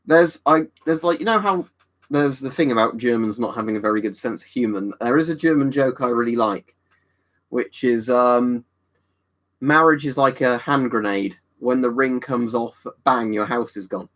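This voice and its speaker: A man's voice: mid-range voice, moderate pace, fairly bright tone. A man, casually chatting and answering viewers on a livestream. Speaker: livestream host reading chat. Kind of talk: casual chat.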